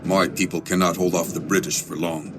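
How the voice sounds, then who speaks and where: An actor playing a stern, proud Mohawk warrior in a game cutscene, terse and blunt, loyal but sceptical. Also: male voice, sits low, level tone, a little fast, very noisy, close to an everyday voice. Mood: grave urgency. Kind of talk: dramatic game cutscene acting.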